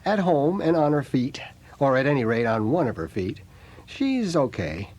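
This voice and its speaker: A man's voice: medium pitch, very animated, moderate pace, a little mellow. A man playing a kindly, genial divorced father in an audio drama, fatherly and encouraging in manner. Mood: warm, pleased.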